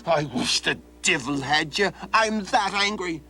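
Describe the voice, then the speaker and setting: A man's voice: fairly high pitch, very animated, brisk, breathy edge, pitched above the performer's own voice. A man voicing a cheerful young farmhand who turns hot-tempered, with a folksy way of talking. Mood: angry. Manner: folksy storytelling speech.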